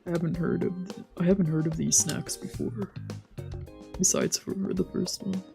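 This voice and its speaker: A woman's voice: on the low side, steady tone, moderate pace, gravelly, over music. Woman reading a visual novel aloud. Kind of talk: let's play, narrating and voicing translated lines.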